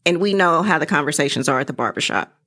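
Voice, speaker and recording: A woman's voice: deep voice, expressive, quick pace, a bit bright. A woman chatting casually as a guest in an interview, recorded in a podcast studio.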